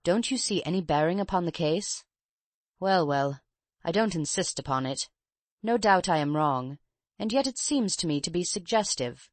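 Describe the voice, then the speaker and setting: Audiobook casting: woman as a probing, measured detective, lowish voice, dry and close. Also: expressive, no room sound, lightly acted. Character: keen male detective, brisk and probing. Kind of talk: audiobook character reading.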